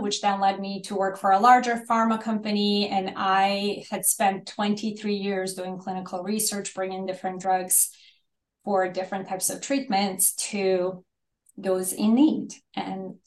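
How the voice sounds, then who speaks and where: A woman's voice: medium pitch, roomy sound. A middle-aged woman from Bosnia, a health and nutrition coach, telling her career story in an interview.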